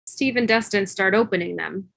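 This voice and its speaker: A woman's voice: mid-range voice, moderate pace, gravelly, fairly bright tone, dry recording. A woman reading scripted stage directions as narration on a video call. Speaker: stage-direction reader in a table read.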